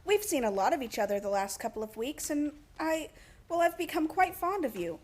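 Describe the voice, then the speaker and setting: Woman playing high-voiced, practical, reproachful sweetheart. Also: sing-song, fairly quick, a little mellow. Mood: tender, disappointed. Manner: reproachful, emphatic. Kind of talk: stage-like radio play acting.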